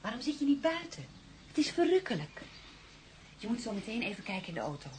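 An actress playing an affectionate, lighthearted wife in a radio play, talking in intimate, familiar domestic dialogue. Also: female voice, fairly high pitch, big pitch swings, fast, darkish tone, slight background noise. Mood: delighted.

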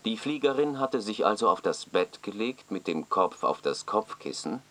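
A man's voice: on the low side, lively intonation, normal speed, noisy background, close to an everyday voice. An actor playing a sober male official in a German radio play, reading out a report in a formal, factual, detached manner.

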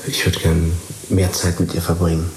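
A man's voice: sits low, completely flat delivery, moderate pace, a little mellow, a little hiss or hum, close to an everyday voice, poor audio. An actor playing a laconic, tentative male partner in a naturalistic radio drama.

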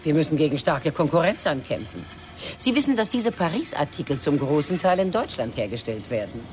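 A woman's voice: deep voice, very animated, a little fast, a little mellow, slight background noise. An actress playing a shrewd middle-aged mother in a radio crime drama, chatty and probing with a sardonic edge. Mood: brisk, sociable.

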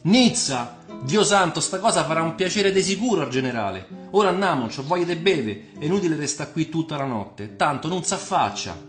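Man acting a cocky young Roman lad, high-pitched. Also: expressive, brisk, few pauses, roomy sound, faint music behind, natural voice. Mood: eager, friendly. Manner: bantering, ironic, colloquial.

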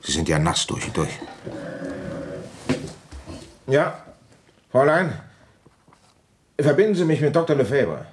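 A man's voice: medium pitch, sing-song, a little slow, long pauses, noisy background. A man acting a middle-aged, world-weary police commissioner in a German radio crime drama, grumbling, brusque and dryly resigned. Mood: brisk, official. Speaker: narrator and lead character.

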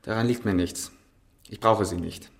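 An actor playing a proud, reluctant gentleman scientist in a German radio play, speaking in a curt, grave and bitter way. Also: on the low side, normal speed, gravelly. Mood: cold, bitter. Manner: curt, grave, clipped refusals.

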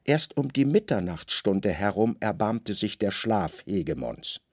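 A male radio drama narrator tells a literary story in short, weighted phrases. His pitch moves freely and expressively, with gravelly fry in the voice, recorded close and dry. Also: very animated, measured pace, no room sound.

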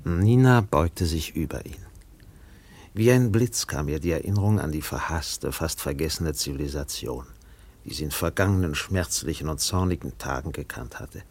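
Deep, gravelly male radio-play narrator. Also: expressive, a little mellow. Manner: grave, deliberate. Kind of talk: scripted literary radio drama narration.

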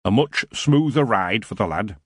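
A man's voice: fairly low pitch, expressive, moderate pace, breathy edge, dry recording, clean studio sound. Man doing a grumpy dwarf helper. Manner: grumbling, knowing.

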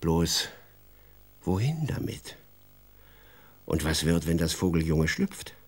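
A man playing an expressive storyteller in a children's radio drama, narrating in a deliberate, dramatic way. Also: sits low, breathy edge, full character voice. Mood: wry, deliberate. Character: expressive male storyteller who also talks with the boy.

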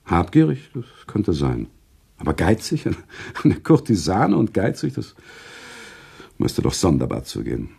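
An actor playing a thoughtful, sympathetic storyteller who narrates within a German radio play, deliberate and expressive. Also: male voice, low voice, vocal fry, slightly rough, exaggerated voice. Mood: engaged. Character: thoughtful, sympathetic male storyteller, the author himself.

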